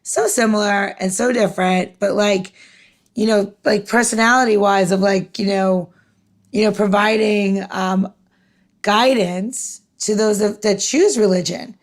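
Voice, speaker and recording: A woman of about forty with a mid-pitched voice co-hosts a podcast. She talks informally and eagerly, wandering through her thought as she works toward a question. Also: steady tone, unhurried. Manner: rambling, informal, enthusiastic. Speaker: podcast co-host. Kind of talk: casual chat.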